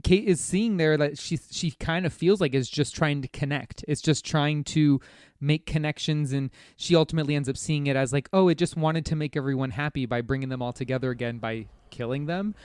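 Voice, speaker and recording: A man's voice: fairly high pitch, a little fast, darkish tone, smooth, dry recording. Man chatting casually on a podcast, dry sound. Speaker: podcast co-host. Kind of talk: casual video game discussion.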